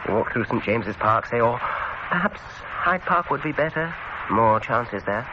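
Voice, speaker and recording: An actor playing an unsettling dentist in a suspense radio drama, with an English accent and an unhurried, falsely soothing manner. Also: male voice, medium pitch, animated, dull audio, close to an everyday voice. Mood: insinuating. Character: unsettling, probing male dentist with smooth menace. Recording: noisy, band-limited old radio recording.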